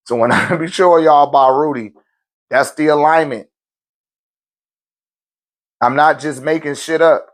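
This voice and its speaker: A man hosting a spiritual live stream, talking loosely in slang and answering viewer questions in a relaxed, conversational way. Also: a little high, unhurried, long pauses.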